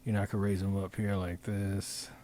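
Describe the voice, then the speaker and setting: A man talking through an informal software demonstration, improvising in a halting way as he thinks aloud and repeats phrases. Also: sits low, level tone, slight background noise. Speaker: presenter demonstrating design software. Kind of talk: informal screen-recording tutorial, improvised.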